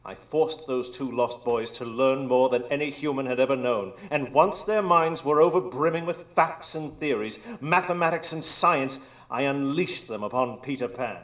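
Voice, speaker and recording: A man's voice: mid-range voice, moderate pace, few pauses, lightly acted. A man as a grandiose, scheming old pirate villain. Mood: gloating. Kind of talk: live radio drama acting.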